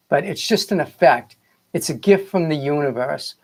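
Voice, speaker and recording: A man's voice: fairly high pitch, lively intonation, a little slow, gravelly, husky. A middle-aged or older man with a Boston accent speaks casually and earnestly as a spiritual coach on a livestream, rambling and repeating phrases for emphasis. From Boston area, United States. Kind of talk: casual motivational livestream talk.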